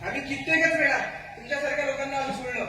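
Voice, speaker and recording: A man's voice: extremely high pitch, fairly even intonation, racing. A man playing a stern, distrustful forest tribesman in an amateur stage play, declaiming reproachful questions. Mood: bitter, distrustful. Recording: very echoey noisy hall, far from mic.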